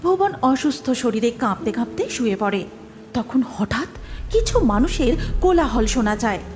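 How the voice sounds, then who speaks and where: A woman tells a scripted cartoon tale as narrator. Her voice is high with lively swings in pitch. Music plays clearly underneath. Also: moderate pace. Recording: music behind the voice.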